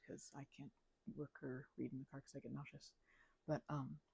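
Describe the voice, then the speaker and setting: Young woman, ASMR rambler: low-pitched, husky, mumbly, hesitant, very dry close-mic sound. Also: warm tone, no room sound, poor audio. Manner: mumbling, hesitant, drifting storyteller. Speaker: ASMR channel creator. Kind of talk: casual rambling ASMR chat.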